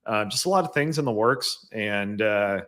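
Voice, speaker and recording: A man's voice: on the low side, expressive, moderate pace, a bit bright. An American man hosting a casual live business show, chatting and introducing a guest. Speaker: show host.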